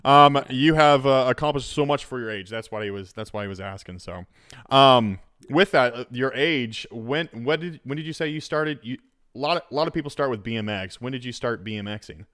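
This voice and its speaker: Smooth-voiced man host in his thirties. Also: mid-range voice, expressive, a little fast, a bit bright, dry recording, clean studio sound. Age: 30s. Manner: rambling, restarting his questions. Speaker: podcast host. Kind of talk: casual interview chat.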